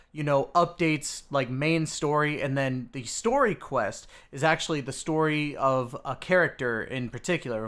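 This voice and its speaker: A man's voice: fairly high pitch, a bit bright. A man hosting a video, giving a casual, informal game story recap straight to his viewers. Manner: informal, addresses viewers as dudes and guys. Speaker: video host.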